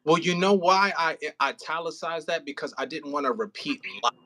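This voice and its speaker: Bright-voiced man chatting casually. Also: a little high, brisk. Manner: informal, slangy. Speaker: audio play writer and rehearsal host. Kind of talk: casual rehearsal chat.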